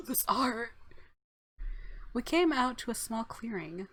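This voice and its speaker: A woman's voice: fairly high pitch, animated, slow, husky. A woman let's player doing casual gameplay commentary and reading game narration aloud. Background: faint game music.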